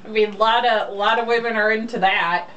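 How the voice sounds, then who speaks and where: A woman's voice: a little high, steady tone, fairly quick, fairly bright tone, dull audio. A woman chatting casually and reading aloud in a blunt, sarcastic, mocking way, swearing freely. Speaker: co-host reading a romance novel aloud. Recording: distant mic, faint background noise.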